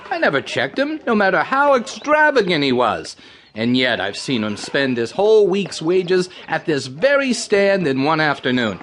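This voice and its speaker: An actor playing a wheedling, folksy circus candy seller in an audio drama, with a self-justifying, rustic way of talking. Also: male voice, fairly high pitch, big pitch swings, moderate pace, fairly bright tone, a little hiss or hum. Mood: self-pitying, boastful.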